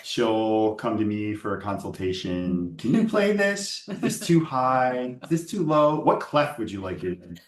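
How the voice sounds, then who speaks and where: A man's voice: lowish voice, very animated, a little slow, hardly any pauses, reverberant. A man from the United States, a cellist, giving brief, hesitant answers with frequent uhs in an interview. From Northern Virginia, USA.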